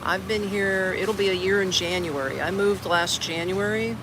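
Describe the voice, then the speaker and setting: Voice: medium pitch, moderate pace. An expat from Orlando, Florida chatting casually on a live stream from an outdoor garden café, rambling with lots of "like" and "man". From Orlando, Florida, United States. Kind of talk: casual livestream chat. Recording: outdoor stream with traffic noise. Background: outdoor ambience with traffic.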